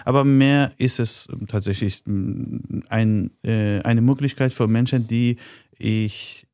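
A man speaking German as a second language, answering interview questions in a halting way with many pauses and fillers. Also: medium pitch, fairly even intonation, darkish tone, no echo, clean studio sound. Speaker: interview guest. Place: studio, in a room with the host.

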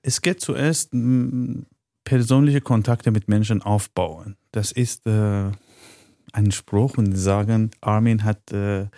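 Guy who speaks german as a 2nd language, kinda low dark voice, lots of ums, dry studio sound. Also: steady tone, dry recording. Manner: halting, with many fillers and restarts, speaks German as a second language. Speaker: interview guest. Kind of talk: conversational interview answers. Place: studio, in a room with the host.

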